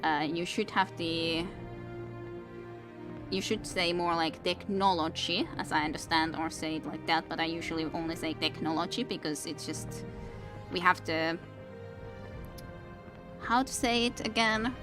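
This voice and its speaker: A woman's voice: sits low, steady tone, unhurried, pauses often, music in the background. A woman in her thirties from Finland, a native Finnish speaker with a Finnish accent, chatting casually and rambling while streaming a game, with many restarts. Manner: rambling, reads chat aloud, many restarts. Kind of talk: casual gameplay stream chat.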